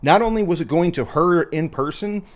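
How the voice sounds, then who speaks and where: A man chatting as a podcast host. His pitch swings widely as he recaps a true crime tale in an animated, emphatic way. His wording is casual and slangy. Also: normal speed.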